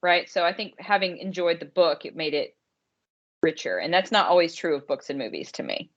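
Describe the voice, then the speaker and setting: A woman's voice: lowish voice, animated, fairly quick, muffled recording. A woman co-hosting a podcast about romance writing, chatting casually as an author.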